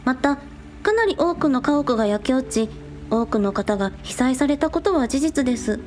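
A woman's voice: high-pitched, moderate pace, a little hiss or hum. A young woman playing a bubbly, earnest teenage girl idol in a Japanese audio drama. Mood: earnest reporting. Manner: excitable, cute, earnest.

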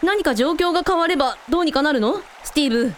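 A woman playing a brisk, protective member of an adventuring family in a radio drama. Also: sits high, expressive, slight background noise. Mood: urgent.

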